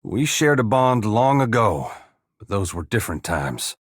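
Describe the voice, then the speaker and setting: An actor playing a humble, weary dead farmer-saint in a fantasy video game, plain-spoken yet solemn, with a gravelly, husky voice. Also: male voice, on the low side, sing-song, unhurried, no echo, clean studio sound. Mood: regretful. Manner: plain-spoken rustic phrasing mixed with solemn archaic oratory. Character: humble dead farmer-saint, once god-touched, wry, earnest and weary. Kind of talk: dramatic fantasy game dialogue.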